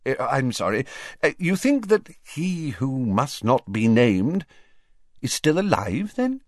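A man's voice: medium pitch, sing-song, slow pace, no room sound, clean studio sound, pitched above the performer's own voice. A man voicing a harassed, exasperated middle-aged prime minister who splutters and hesitates.

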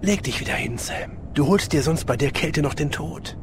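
An actor playing an easygoing, devoted police sergeant in an audio drama. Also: male voice, a little high, expressive, normal speed, noisy background, close to an everyday voice. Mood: earnest, soothing.

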